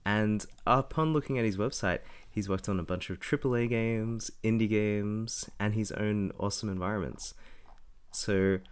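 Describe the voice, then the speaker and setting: A young man, a little raspy from being sick, walking through a software tutorial in a casual, self-correcting way. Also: on the low side, moderate pace. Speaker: tutorial host. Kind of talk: screen-recorded software tutorial.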